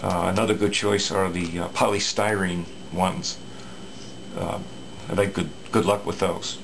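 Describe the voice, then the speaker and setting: A low, gravelly male voice explains an old radio repair in an unscripted, halting way, stopping often for fillers and restarts. A faint hiss of background noise sits under the recording. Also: steady tone. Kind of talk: casual workbench repair talk.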